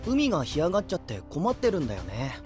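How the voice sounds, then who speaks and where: A breezy, friendly young knight in an anime audio drama. He talks loosely and casually with very lively pitch, over clear background music. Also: fast. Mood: troubled but breezy. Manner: casual, loose, easygoing speech.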